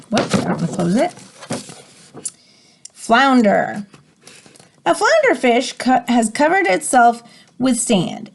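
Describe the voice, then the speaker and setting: Animated woman reading aloud, higher-pitched voice. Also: vocal fry. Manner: animated, dramatic emphasis. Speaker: teacher reading a picture book aloud. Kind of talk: expressive read-aloud with teaching asides.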